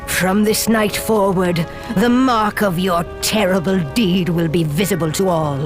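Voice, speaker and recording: An actress playing a solemn, ominous village oracle in a video game, speaking as if pronouncing warnings and curses. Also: female voice, medium pitch, a little slow, lightly acted. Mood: solemn condemnation. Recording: music behind. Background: music.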